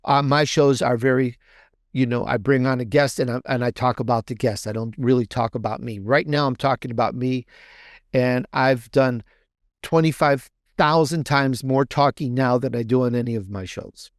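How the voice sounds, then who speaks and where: A man's voice: medium pitch, steady tone, normal speed, no room sound, clean studio sound. A man talking casually as a podcast guest, chatty and rambling, often repeating and restarting his phrases. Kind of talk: casual, conversational interview answer.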